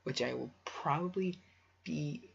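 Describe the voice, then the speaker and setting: A man's voice: fairly high pitch, measured pace, vocal fry, husky. A man reading a story aloud casually for a video, stumbling over words and breaking off into offhand asides. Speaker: amateur fan fiction reader and video creator. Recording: dull lo-fi home recording.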